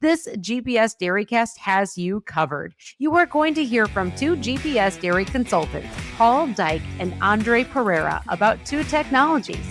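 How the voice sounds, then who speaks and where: A woman's voice: fairly high pitch, sing-song, normal speed, hardly any pauses, fairly bright tone. A woman host reading a polished, promotional podcast introduction. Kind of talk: scripted intro narration. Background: faint music bed.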